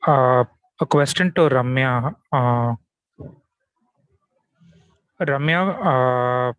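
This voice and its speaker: A man's voice: medium pitch, fairly bright tone, clean tone, no echo. Man asking a question, dry sound. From India. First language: Tamil. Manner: polite, deliberate, with fillers. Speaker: audience member asking a question.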